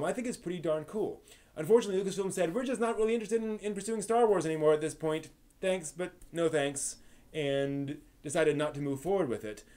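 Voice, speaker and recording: Higher-voiced man, casual stream host. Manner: animated storyteller, emphatic, conversational. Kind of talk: casual enthusiastic monologue to stream viewers.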